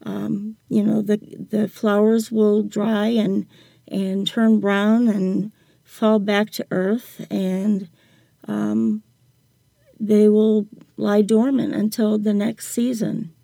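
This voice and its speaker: Slow, creaky-voiced older woman. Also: mid-range voice, little pitch movement, warm tone, dry recording. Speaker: podcast guest, writer. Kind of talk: reflective interview talk.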